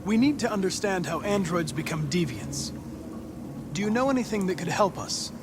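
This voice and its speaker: A man's voice: high voice, lively intonation, moderate pace, noisy background. A young man with an American accent playing a polite, earnest android investigator in a video game, precise and formal. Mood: polite, probing. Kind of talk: cinematic video game acting. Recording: music under the voice.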